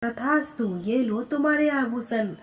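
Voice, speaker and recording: A woman voicing a kindly, solemn god speaking calmly to a devotee in a cartoon story. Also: a little high, unhurried, lightly acted. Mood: solemn, benevolent. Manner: calm, solemn pronouncements. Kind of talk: Hindi cartoon story dubbing.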